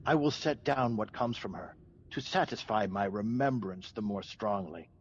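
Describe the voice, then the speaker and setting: A man playing a grave, pious, watchful doctor in a Shakespearean radio drama, speaking with measured, formal concern. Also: fairly low pitch, muffled recording, a little hiss or hum, close to an everyday voice. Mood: observant, concerned.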